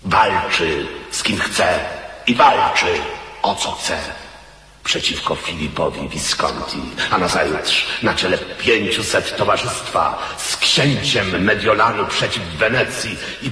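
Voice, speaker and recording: An arrogant mercenary knight in a radio drama, with an extremely deep, forceful voice. He declaims with cold, commanding scorn, ringing through a long hall reverb. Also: slow, exaggerated voice. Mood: boastful. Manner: haughty, commanding, theatrical declamation.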